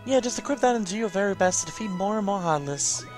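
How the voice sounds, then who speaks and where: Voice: medium pitch, expressive, fast talker, soft background music, voice pushed up, lightly acted. A person voicing a small, eager spirit familiar from a video game in a chirpy, encouraging way. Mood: cheerful, coaxing. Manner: bubbly, encouraging, coaxing.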